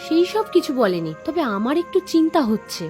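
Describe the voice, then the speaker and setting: High, lively village housewife played by an actress. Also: female voice, a little fast, soft background music. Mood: concerned, gentle. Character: village housewife and dutiful niece, hospitable, a little anxious. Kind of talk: Bengali cartoon voice acting.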